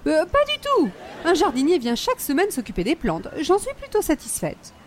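A woman's voice: high voice, wildly swooping pitch, moderate pace, a little hiss or hum. A woman playing an affected, snobbish older hostess with a Quebec French accent and precious, formal diction, in radio comedy style. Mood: prim, evasive. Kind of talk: old-time radio comedy acting.

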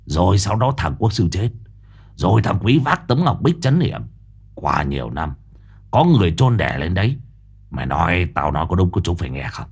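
A brusque, cursing investigator with a husky, very deep voice that swings widely in pitch, as a man puts him on. He growls his words, short-tempered and demanding in a spoken ghost story. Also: big pitch swings, normal speed, full character voice. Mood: insistent. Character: gruff middle-aged male investigator, impatient, cursing. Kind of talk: audiobook character voice.

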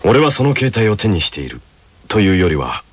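An actor playing a calm, bespectacled teenage tennis player who invents gadgets, talking in a lecturing, matter-of-fact way in a radio drama. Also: male voice, fairly low pitch, a little fast, slightly rough, no echo. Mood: explaining.

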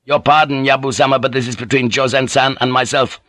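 A man's voice: medium pitch, little pitch movement, brisk, a bit bright, dry recording, studio quality, pitched above the performer's own voice, natural voice. A man voicing a proud young samurai bent on honor, formal and defiant, in an audiobook. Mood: cold defiance. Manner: formal, defiant, clipped politeness. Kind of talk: audiobook character voice.

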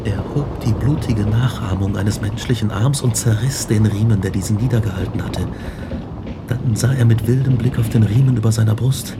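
Actor as feverish scientist amid loud noise. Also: male voice, medium pitch, lightly acted. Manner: feverish, swinging between whispers and shouts. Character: frantic male scientist horrified by his own creation. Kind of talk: radio drama monologue.

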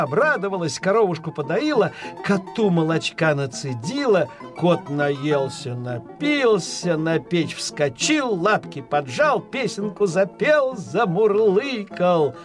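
A man narrating a folk tale as storyteller, in an expressive, dramatized way. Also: high-pitched.

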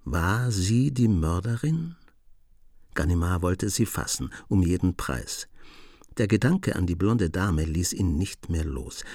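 A man narrating a radio play in a measured, storytelling manner. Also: low-pitched, a little mellow, dry recording, clean studio sound.

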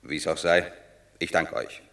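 An actor playing a proud, gruffly modest Roman war hero in a classical verse radio drama, terse and reluctant. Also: male voice, extremely low pitch, measured pace, fairly bright tone, heavily acted. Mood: stiff gratitude.

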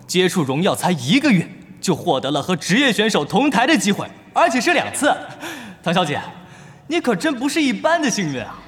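A man's voice: high voice, sing-song, a little fast, fairly bright tone. A man acting as a showy esports event host in an audio drama, announcing theatrically to an arena crowd. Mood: playful, showy. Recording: echoey arena-style reverb, faint music behind.

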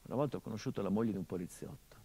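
A middle-aged actor playing the grieving son of a murdered journalist in a theatrical monologue, brooding and bitter, moving between hushed and impassioned delivery. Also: male voice, lowish voice, racing, husky. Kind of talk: theatrical monologue broadcast on radio.

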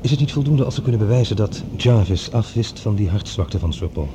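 An actor playing a brisk, practical male doctor in radio drama style. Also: on the low side, animated, background noise, natural voice. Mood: brisk, concerned.

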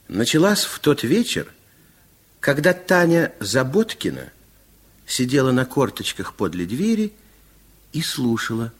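A man narrating a children's fairy tale as a storyteller in a radio play. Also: medium pitch.

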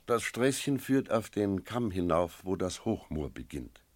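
An actor playing a sober village innkeeper who tells an eerie tale in a measured, reflective radio drama style. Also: male voice, sits low, sing-song, darkish tone, no room sound. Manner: measured, reflective, literary storytelling. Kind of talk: radio drama narration and dialogue.

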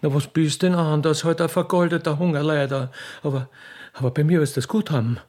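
A man voicing a mature, rich butcher's widow in a comic radio play, wooing in folksy, halting, insistent Viennese. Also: on the high side, fairly even intonation, a little mellow, voice pushed up, natural voice. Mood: indignant, coaxing.

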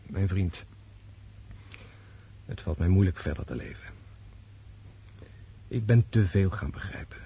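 An actor in a Dutch radio play voicing a disillusioned, brooding military officer, intense and bitter, with halting, reflective pauses. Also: male voice, low-pitched, animated, vocal fry, dull audio, slight background noise, full character voice. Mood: weary, resigned. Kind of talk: radio drama acting.

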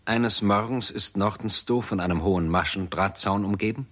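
A man giving sober, measured scripted narration as the narrator of a 1960s German radio drama. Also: on the low side, a bit bright.

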